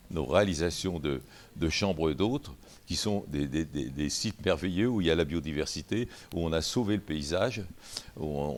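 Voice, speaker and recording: A man speaking at a public round table for a mill owners' association, halting and full of restarts. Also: low voice, darkish tone. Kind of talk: panel talk.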